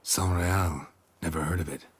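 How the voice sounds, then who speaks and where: A man playing a stoic, world-weary monster hunter in a video game, speaking in terse, deadpan phrases. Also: low-pitched, normal speed, breathy edge. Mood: skeptical.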